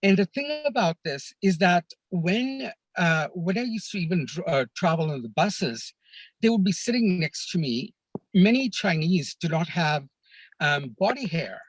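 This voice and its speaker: A man from Kenya, a podcast guest, telling stories of his life abroad in an earnest, measured way, often pausing on "uh" and "you know". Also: high-pitched, sing-song, dry recording, poor audio. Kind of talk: reflective storytelling in a conversation.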